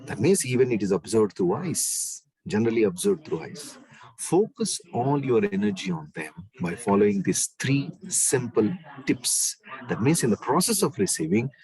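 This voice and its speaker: A man with a deep bass voice, lecturing an online class in an emphatic way and repeating key phrases. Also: sing-song, moderate pace, darkish tone, rough recording.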